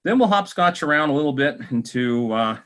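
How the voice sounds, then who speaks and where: A man's voice: a little high, animated, a little fast, a bit bright, clean tone. An American man hosting an interview in a casual, joking, rambling way over a video call. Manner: rambling, jokey. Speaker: talk show host, interviewer.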